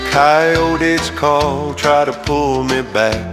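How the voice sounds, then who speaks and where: Female country singer, unhurried and lowish, slow western ballad over loud guitar music.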